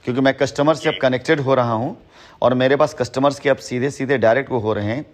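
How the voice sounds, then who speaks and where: A man's voice: medium pitch, fairly even intonation, fast. Assertive man on a phone call. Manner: assertive, long-winded, repeats himself for emphasis. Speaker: company head on a phone call with a former associate. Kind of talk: phone conversation in Hindi mixed with English words. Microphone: phone call recording.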